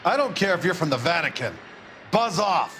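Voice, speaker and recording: A man's voice: sits high, big pitch swings, normal speed, pushed and bright, a little hiss or hum, full character voice. A man playing a surly, short-tempered work-site tough in a crime game, with a threatening, dismissive manner. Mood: belligerent.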